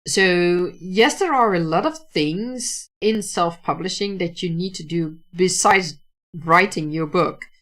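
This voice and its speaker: A woman's voice: lowish voice, slow pace, a bit bright. A Dutch woman speaking English as a second language, talking casually about writing on a livestream with many restarts and fillers. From Netherlands. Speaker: writer hosting a livestream.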